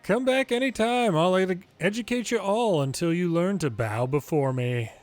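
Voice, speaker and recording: Higher-voiced man reading game dialogue over music. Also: normal speed. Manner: acts out each character's lines in turn. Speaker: video game longplayer reading the story aloud. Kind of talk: dramatic read-aloud of game dialogue.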